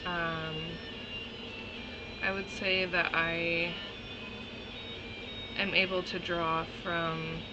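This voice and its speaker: A young woman and college student recording a class discussion post, hesitant and pausing often with ums. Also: lowish voice, flat delivery, highs cut off, over loud music.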